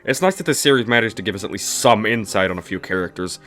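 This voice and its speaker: A man's voice: lowish voice, expressive, fast talker, fairly bright tone, soft background music. A man narrating a scripted comedic review in a snarky, dismissive, mock-exasperated way. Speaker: video reviewer, host of a review series.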